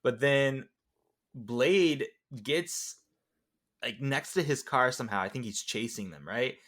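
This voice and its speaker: A man's voice: medium pitch, expressive, slow pace, fairly bright tone. A man in a casual film discussion, joking and riffing on scenes.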